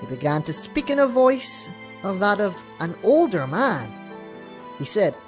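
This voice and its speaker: A woman's voice: mid-range voice, sing-song, measured pace, soft background music. A woman playing a solemn, self-important ancient scribe, telling a chronicle in archaic, theatrical language for an audio drama. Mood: hushed, fearful.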